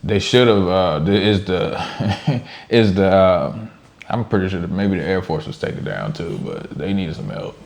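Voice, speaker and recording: Deep-voiced man chatting casually. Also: lively intonation, unhurried, creaky, a little mellow. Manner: informal and slangy, chuckles while making his points. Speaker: reaction show co-host. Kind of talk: casual chat, off-the-cuff commentary.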